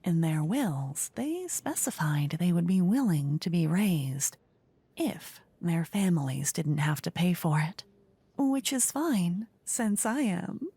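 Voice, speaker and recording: A woman voicing a flippant, gleeful queen in a soft-spoken fantasy ASMR roleplay, playful and condescending in a theatrical way. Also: fairly low pitch, expressive, slow pace, slightly rough, no room sound, heavily acted. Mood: gracious, amused. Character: gleeful, flippant queen presiding over her fantasy court.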